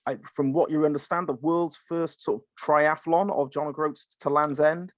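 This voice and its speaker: A man's voice: fairly high pitch, steady tone, normal speed, dry recording. Eager man hosting, dry close sound. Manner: chatty, eager, stumbling over his questions. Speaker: podcast host. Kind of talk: casual podcast interview.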